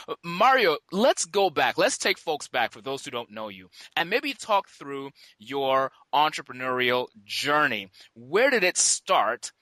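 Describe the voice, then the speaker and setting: A man's voice: mid-range voice, bright, forceful tone, no room sound. A man hosting a podcast interview, upbeat and encouraging as he leads his guest through questions. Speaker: podcast host. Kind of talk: interview host.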